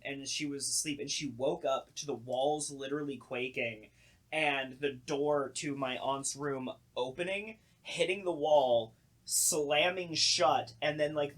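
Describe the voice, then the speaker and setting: A man's voice: a little high, measured pace, a bit bright. A young man co-hosting a podcast, telling stories casually and informally and acting out reactions as he goes. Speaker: podcast co-host. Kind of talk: casual storytelling chat.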